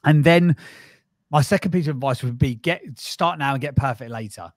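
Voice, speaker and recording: A man in his thirties, a podcast agency founder, giving direct, animated advice in a casual interview over a studio mic with headphones. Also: medium pitch, normal speed, dry recording. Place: small room with acoustic foam panels. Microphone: studio mic on arm, headphones.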